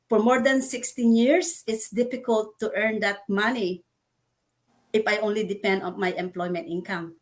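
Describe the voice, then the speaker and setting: A woman born in the Philippines hosts a casual online chat over a video call. Her voice sits a little high, and she talks earnestly, pausing often with fillers as she gathers her words. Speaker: host.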